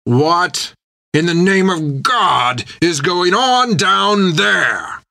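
A man playing a fierce old pirate sea captain in an audiobook, shouting and snarling his words with drawn-out contempt. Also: high voice, animated, creaky, pitched above the performer's own voice, full character voice. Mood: irritated. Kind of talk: dramatic audiobook character acting.

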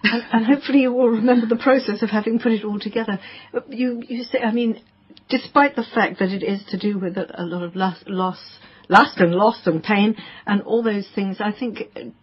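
A woman radio interviewer asking a poet questions in a quick, conversational way. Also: mid-range voice, slightly rough, highs cut off.

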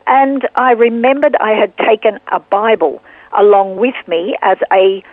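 A woman in her sixties with an Australian accent, a guest telling her story earnestly and unhurriedly, with pauses. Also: mid-range voice, steady tone, no room sound. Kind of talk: casual interview storytelling. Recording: tinny phone line.

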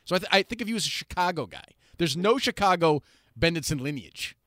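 A man in his forties hosting an interview, teasing his guest with rambling, animated questions. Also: on the high side, a little fast, no room sound, clean studio sound. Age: 40s. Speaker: host. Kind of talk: casual interview chat.

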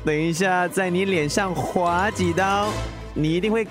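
A woman's voice: on the low side, measured pace, lightly acted. A woman voicing a menacing male street thug, taunting and sneering, in a radio drama with music underneath. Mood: threatening.

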